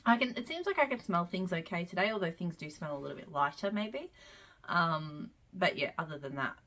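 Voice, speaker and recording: Woman, congested, head cold, chatty vlogger, rambling, lowish voice, at home. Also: expressive, a little fast, fairly bright tone. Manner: rambling, chatty, frequent "um" and side tangents. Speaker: wax melt reviewer. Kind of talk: casual chatty product review vlog.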